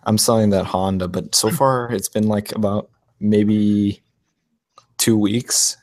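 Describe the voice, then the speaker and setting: Young texas guy chatting on a live stream, low voice, slight twang, laid back, lots of ums, dry sound. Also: steady tone, slow pace, a little mellow, no echo. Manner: easygoing, laughs often, rambling with fillers. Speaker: motovlog live stream host. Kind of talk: casual live stream chat.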